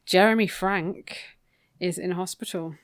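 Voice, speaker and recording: A woman's voice: lowish voice, fairly even intonation, measured pace, no room sound, clean studio sound. A woman co-hosting a podcast, chatting casually through a recap with wry, opinionated asides. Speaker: podcast co-host. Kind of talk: casual book recap chat.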